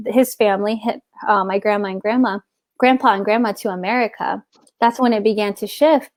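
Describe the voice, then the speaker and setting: Middle-aged woman guest, casual and meandering, mid pitch, dry close recording. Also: dry recording. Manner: rambling, searching for words with many restarts. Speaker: guest author. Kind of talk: casual spiritual conversation.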